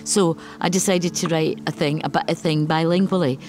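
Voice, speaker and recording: A woman's voice: sits low, fairly even intonation, moderate pace, darkish tone, soft background music. A woman with a Glasgow accent, a poet talking in an interview and reading a poem aloud in a thoughtful manner.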